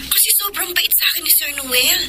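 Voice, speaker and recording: A trusting, grateful young secretary in a classic radio drama. Her voice is high and sweet, and she speaks earnestly. A steady hiss sits under the recording. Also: brisk.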